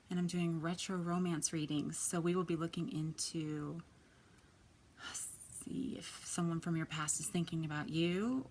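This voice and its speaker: A woman in her thirties with a quiet, soft voice, chatting casually as she gives a tarot reading. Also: fairly low pitch, a little hiss or hum. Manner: chatty, lots of ums and likes. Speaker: tarot reader.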